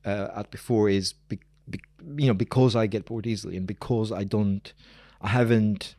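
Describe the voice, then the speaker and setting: A man's voice: fairly low pitch, fairly even intonation, moderate pace, warm tone, dry recording. A man, a professor talking casually and digressively as an interview guest. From Greece. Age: 40s. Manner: informal, digressive, emphatic repetition.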